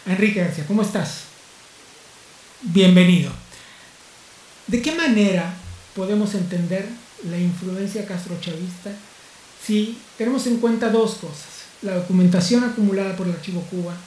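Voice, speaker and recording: A woman moderating a seminar panel, introducing the speakers in a formal, measured way. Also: lowish voice, darkish tone. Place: seminar hall. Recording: echoey hall with faint hiss.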